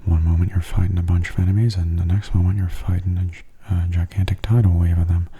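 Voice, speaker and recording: A man giving soft-spoken ASMR commentary over a game in a hushed, breathy murmur, calm and unhurried, close to the microphone. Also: low-pitched. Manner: calm, unhurried, musing aloud. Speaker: gaming ASMR host.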